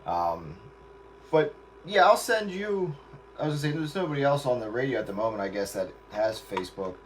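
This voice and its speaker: A man's voice: mid-range voice, big pitch swings, brisk, slight background noise, low-quality recording. A man chatting casually and in a rambling, easygoing way as he hosts a stream from his radio set. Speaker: radio operator hosting a livestream.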